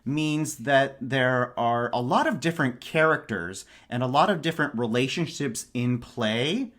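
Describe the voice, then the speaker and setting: A man's voice: mid-range voice, unhurried, a bit bright. Enthusiastic man chatting about books. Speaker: podcast guest. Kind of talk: casual book discussion.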